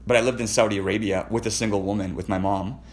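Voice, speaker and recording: A man's voice: lowish voice, fairly even intonation, quick pace. A Canadian man telling personal stories in casual conversation, profane, rambling and emotionally intense.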